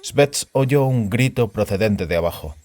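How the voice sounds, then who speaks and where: A man's voice: mid-range voice, animated, unhurried, noisy background. A man reading a science fiction story aloud as a scripted audiobook narration.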